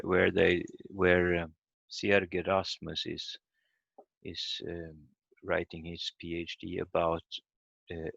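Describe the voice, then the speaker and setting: A man teaching an online language lesson in a halting, hesitant way over a muffled call. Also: sits low, vocal fry, fairly bright tone, no echo.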